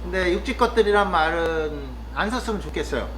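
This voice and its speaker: High-voiced man musing thoughtfully. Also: lively intonation, a bit bright, slight background noise, rough recording. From Chungcheong, Korea. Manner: thoughtful, musing, with frequent pauses and fillers. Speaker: vlogger living in the countryside. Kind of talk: casual reflective monologue.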